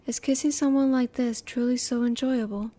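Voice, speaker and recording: A woman voicing a curious, emotionally reserved female alien in an intimate roleplay audio, speaking in a formal, measured, inquisitive way. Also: a little high, steady tone, mellow, lightly acted. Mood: intrigued, restrained.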